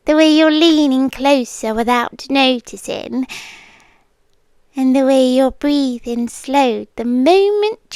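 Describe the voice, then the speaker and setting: A woman playing an ancient, possessive female monster in an ASMR roleplay, with a British accent and a hushed, teasing delivery that often drops into whispers. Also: high voice, no echo, heavily acted. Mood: seductive, intimate. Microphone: close microphone.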